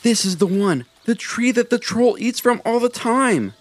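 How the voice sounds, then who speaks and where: A man voicing an excited young male squirrel in a storybook reading, chatty and eager. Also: very high voice, measured pace, voice pushed up, exaggerated voice.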